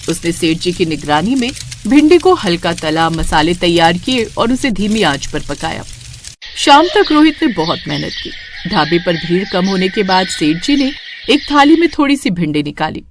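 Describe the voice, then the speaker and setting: A woman narrating a scripted moral story in an expressive storytelling style. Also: lowish voice, normal speed, a little hiss or hum.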